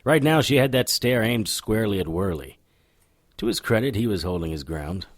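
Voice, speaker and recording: A lowish, lively male voice for a cocky occult private eye. He is wry and irreverent, tossing off wisecracks in noir audio drama style. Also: normal speed. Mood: wry narration. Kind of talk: audio drama dialogue and first-person narration.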